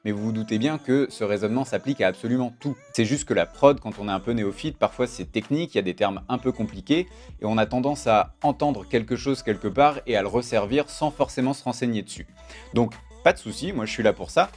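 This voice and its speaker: A man's voice: mid-range voice, fast. A man, explaining things informally as the host of a music production tutorial. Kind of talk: casual tutorial talk to camera. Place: small home studio room.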